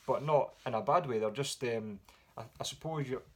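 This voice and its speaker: A man with a Scottish accent answering viewer questions in a relaxed, rambling way with frequent pauses and fillers. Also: medium pitch. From Scotland. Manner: relaxed, rambling with many ums. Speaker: music vlogger. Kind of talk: casual Q&A vlog.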